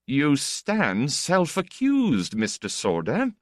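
Man plays flippant aristocrat for audiobook: ironic, urbane, self-assured, raised pitch with big swoops. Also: wildly swooping pitch, slow, no echo, studio quality, heavily acted. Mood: teasing, assured. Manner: flamboyant, urbane, teasing. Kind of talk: audiobook character dialogue.

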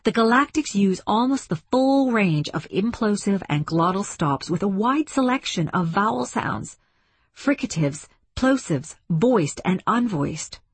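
A woman's voice: mid-range voice, lively intonation, a little slow, slightly rough, no room sound, voice pushed up. A woman voicing a passionate, combative female linguist with an emphatic, lecturing manner in an audiobook story. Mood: earnest, explaining.

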